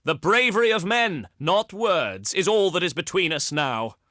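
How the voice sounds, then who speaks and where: An actor playing a haughty, eloquent ancient Greek diplomat in a video game, speaking formally with dramatic pauses. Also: male voice, sits high, expressive, bright, forceful tone, dry recording, clean studio sound, heavily acted. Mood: defiant, warlike.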